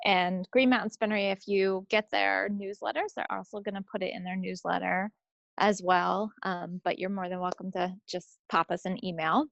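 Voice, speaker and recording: A woman's voice: mid-range voice, steady tone, moderate pace, creaky, a bit bright, dry recording, dull audio. A woman co-hosting a hobby podcast, talking in a casual, chatty style. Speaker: co-host of a knitting video podcast. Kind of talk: casual chat.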